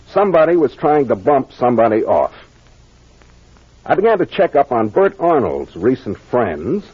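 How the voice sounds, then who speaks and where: An American man playing a confident detective captain in a 1940s radio mystery, explaining things deliberately and with authority. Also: mid-range voice, breathy edge. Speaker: actor playing the investigator. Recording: muffled old radio recording.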